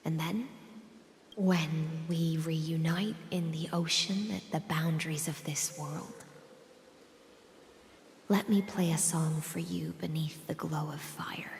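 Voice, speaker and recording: Low-voiced, regal warrior woman, echoing. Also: steady tone, vocal fry, a little mellow, a little hiss or hum, full character voice. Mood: warm, affectionate. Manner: measured, stately, fond. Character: regal, warm woman warrior, affectionate and poetic. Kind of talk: video game voice acting. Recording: echoey long reverb, music behind. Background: faint game music.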